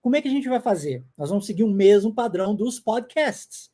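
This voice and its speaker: Middle-aged english teacher guy, grew up in the us, english his first language, fairly high mellow voice, keeps checking in with viewers. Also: animated, brisk. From United States. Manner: coaching viewers, checking in often. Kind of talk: live online lesson.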